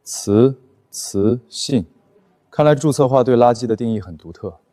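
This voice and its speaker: A man playing a cold, commanding company director in an animated drama, speaking formally with cutting, dry mockery. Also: medium pitch, measured pace, dry recording, natural voice. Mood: dry, mocking. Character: cold, commanding, dryly mocking male boss.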